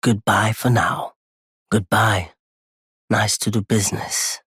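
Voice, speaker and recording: Tired nomad trader man for a game, super raspy breathy voice, kinda low, polite n wry, takes his time. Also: fairly even intonation, a bit bright, dry recording, clean studio sound, heavily acted. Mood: courteous, welcoming. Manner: measured, polite, wry, understated. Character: weary, courteous nomadic merchant man with a quietly unforgiving streak. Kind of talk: video game character dialogue.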